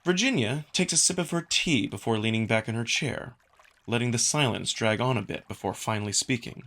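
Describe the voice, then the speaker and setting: A man's voice: mid-range voice, brisk, a bit bright. A man reading scripted first-person narration for a visual novel as the narrator.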